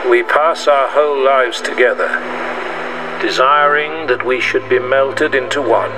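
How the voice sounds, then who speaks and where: Wry, tender groom, audio drama male actor; unhurried, hesitant, off-mic amid heavy outdoor noise. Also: mid-range voice, close to an everyday voice. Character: groom reading his vows at a rainy beach wedding, wry, tender. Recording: noisy outdoor scene, distant from mic.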